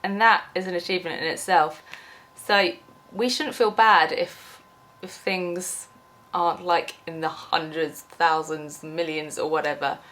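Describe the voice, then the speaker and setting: Lowish-voiced woman vlogging with a cold. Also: fairly even intonation, unhurried, a bit bright. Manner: chatty, rambling, frequent ums and restarts. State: has a cold, losing her voice. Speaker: small YouTuber. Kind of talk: unscripted rambling vlog.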